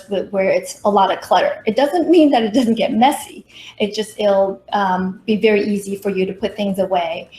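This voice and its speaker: A woman's voice: mid-range voice, a little mellow. A middle-aged woman from Taiwan giving a prepared workshop presentation with personal stories, as a professional consultant. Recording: roomy echo, faint background noise.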